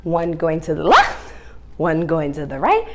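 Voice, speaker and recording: A woman's voice: deep voice, huge pitch swings, a little slow, heavy background noise. A woman teaching young children through read-aloud and ballet, speaking in an encouraging, sing-song way with simple instructions and questions.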